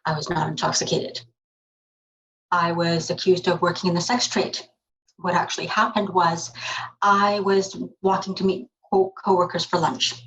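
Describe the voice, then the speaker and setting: Female narrator, lowish and flat, measured and serious first-person account. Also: rough recording. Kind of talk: read testimony narration.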